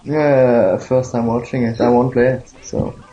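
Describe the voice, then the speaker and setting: A man from Norway calling in late at night, halting and teasing. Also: mid-range voice, steady tone, mellow, highs cut off. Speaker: caller.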